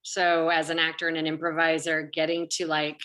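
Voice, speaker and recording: Woman, actor, bright voice, lowish pitch, casual panel chat. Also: monotone, brisk, clear, resonant tone, low-quality recording. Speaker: actor and improviser, panel guest.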